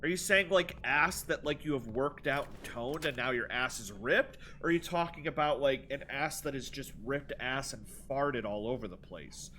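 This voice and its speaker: Male game streamer, congested nose, rambling sarcastic banter, bright forceful tone. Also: mid-range voice, animated, normal speed, few pauses, slight background noise. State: stuffed-up nose. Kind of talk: live gameplay stream, reading chat.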